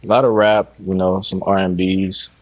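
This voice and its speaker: A man's voice: low-pitched, little pitch movement, normal speed, low-quality recording. A man from Haiti, a DJ guest, talking in an easygoing way with short answers and lots of "you know" and "man". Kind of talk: casual interview chat.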